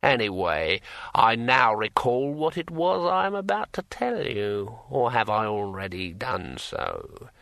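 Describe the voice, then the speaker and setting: A man voicing a dead old man in a storybook, brittle and aged, rambling conversationally in halting, drawn-out phrases. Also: mid-range voice, sing-song, vocal fry, a bit bright, no echo, full character voice. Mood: musing, conversational. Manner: slow, halting, drawn-out delivery.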